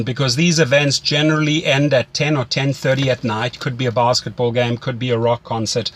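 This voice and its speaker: Male mentor, medium pitch. Instructive but conversational, leans on repetition for emphasis. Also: fairly bright tone. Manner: instructive, repeats phrases for emphasis. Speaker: rideshare driving coach.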